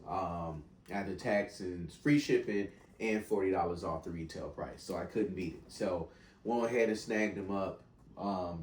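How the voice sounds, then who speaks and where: Congested deep-voiced man in an echoey room. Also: distant mic, a little hiss or hum. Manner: rambling, relaxed and chatty. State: congested with a cold. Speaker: sneaker reviewer. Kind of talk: casual sneaker review.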